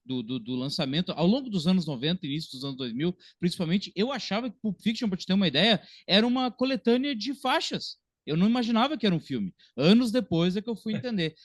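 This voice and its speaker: Brazilian guy in his 30s, pretty high voice, chatty podcast host, dry close sound. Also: lively intonation, fast talker, few pauses, dry recording. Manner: informal, talkative. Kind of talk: conversational film commentary.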